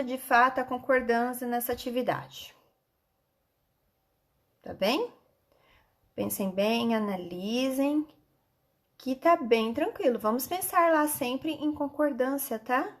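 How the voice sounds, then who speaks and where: A woman with a Brazilian accent, a schoolteacher explaining textbook exercises to children in an instructive way with frequent check-in questions. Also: high voice.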